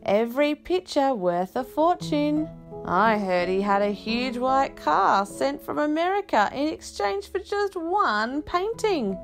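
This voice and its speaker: A woman voicing an excitable, teasing youngster in a storybook, with emphatic, exclaiming delivery. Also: high-pitched, slow pace, over music, pitched above the performer's own voice, heavily acted. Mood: awed, gossipy. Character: excitable, teasing young friends of a shy girl. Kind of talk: storybook character voice.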